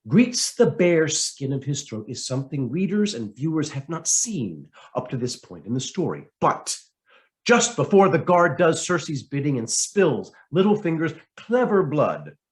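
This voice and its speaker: A man reading a scripted essay aloud as narration, with a theatrical, emphatic delivery and deliberate pauses. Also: on the high side, sing-song. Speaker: reader of a political essay.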